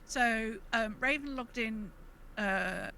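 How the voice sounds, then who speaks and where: A woman's voice: a little high, takes it slowly, gravelly, sharp tone, slight background noise. A woman co-hosting a casual gaming podcast, chatting in an informal, upbeat way. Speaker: podcast co-host.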